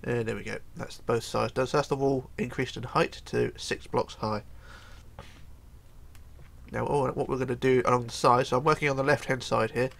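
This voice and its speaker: Guy with a cold doing a game build tutorial at his desk, gravelly and hoarse, keeps counting blocks under his breath. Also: mid-range voice, fairly even intonation, normal speed, a bit bright. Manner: chatty, counts blocks aloud, often trails into mumbling. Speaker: tutorial host. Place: home computer desk.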